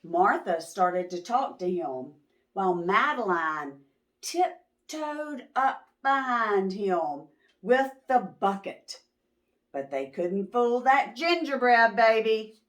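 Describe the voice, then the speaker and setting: A woman reading a picture book aloud to young children as host, expressive and pausing to ask questions. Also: medium pitch.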